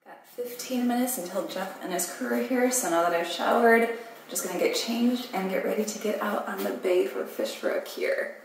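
A woman's voice: medium pitch, moderate pace, creaky, noisy background. A woman vlogger talking casually and matter-of-factly about her plans for the day. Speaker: sailing vlogger. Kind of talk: casual vlog narration.

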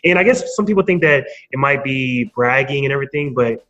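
A man's voice: medium pitch, expressive, brisk, fairly bright tone. Man chatting casually, slightly dull audio. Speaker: podcast guest, marketing speaker. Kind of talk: casual interview conversation.

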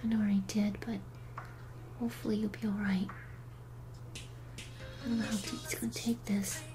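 A woman playing an anxious, conscientious teenage superheroine in an audio drama, hesitant and breathy, often dropping toward a whisper. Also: medium pitch, level tone, a little fast, natural voice, low-quality recording. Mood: worried. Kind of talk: fan audio drama acting. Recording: music under the voice.

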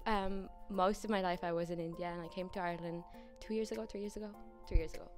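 A woman's voice: on the low side, fairly even intonation, fast, a little mellow. Teen girl student, eager answers, handheld mic, faint music under. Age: teens. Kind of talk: school interview answers. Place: school library indoors. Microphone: handheld mic held by interviewer.

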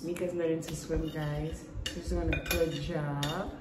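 A woman in her thirties talks with her young daughter while preparing food in a home kitchen. Her voice sits low, and she slips into a playful sing-song. The phone recording is echoey, with loud noise behind it. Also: flat delivery, measured pace. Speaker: vlogger mother. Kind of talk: casual family vlog chat.

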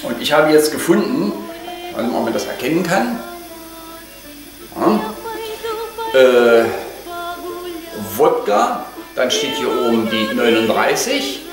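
A man's voice: medium pitch, wildly swooping pitch, takes it slowly, pauses often. An older man chatting casually through a home cooking vlog, full of hesitations, on a lapel mic in his kitchen. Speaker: vlogger. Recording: echoey kitchen, mic at a distance, music behind.

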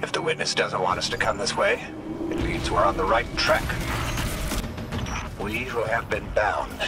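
Intense, animated game scout. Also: male voice, on the low side, a little slow, heavy background noise. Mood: focused. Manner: urgent, pointed, challenging. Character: male guide tracking his commander, intense, defiant, blunt. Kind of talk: video game voice acting.

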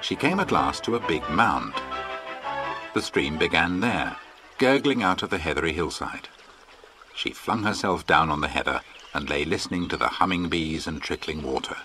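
A man's voice: low-pitched, animated, normal speed, over music. A man with an English accent narrating a children's adventure story as a scripted storyteller. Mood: storytelling.

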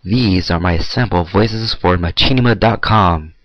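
A man with a gravelly, husky voice performing short dramatic lines as an amateur voice actor's demo. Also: deep voice, measured pace, dry recording. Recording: muffled, dry lo-fi home recording.

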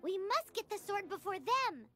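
Super high squeaky little fairy girl, bouncy and loud-bright, game cutscene. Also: sing-song, moderate pace, soft background music, exaggerated voice. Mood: eager. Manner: chirpy, refers to herself in third person. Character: tiny excitable floating fairy companion girl.

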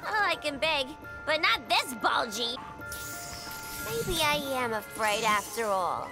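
A woman's voice: high-pitched, big pitch swings, slow, heavily acted, poor audio. A woman voicing a playful, cheeky young clown villainess in a video game, flippant and teasing. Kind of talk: video game character acting. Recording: loud music behind.

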